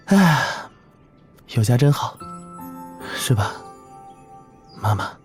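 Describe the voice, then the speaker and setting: An actor voices a young male wanderer in a fantasy cartoon. He is kind and easygoing, with very lively pitch and soft music behind him. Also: takes it slowly. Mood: wistful.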